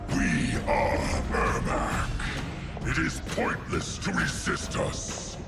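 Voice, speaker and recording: Cold slow spirit-collective villain, breathy, wide pitch swings. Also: male voice, huge pitch swings, loud music behind the voice. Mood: menacing. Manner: speaks as "we", cold and menacing. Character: eerie collective of bound souls, male. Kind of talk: video game cutscene acting.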